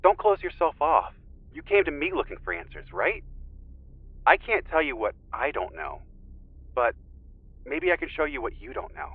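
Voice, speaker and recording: A man acting in an audio drama as an earnest spiritual friend, coaxing and deliberate, who guides meditation. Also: on the high side, sing-song, breathy edge, narrow, tinny audio.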